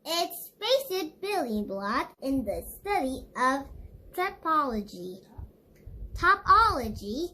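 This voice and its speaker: A woman's voice: sits high, big pitch swings, slow pace, bright, forceful tone. A female reader reading an educational children's book aloud, stumbling over words now and then. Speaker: read-along book reader.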